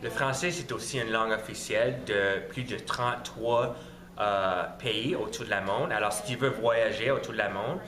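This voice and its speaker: A man gives a brisk, unscripted testimonial with some hesitation. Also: lowish voice, echoey room. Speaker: interviewee.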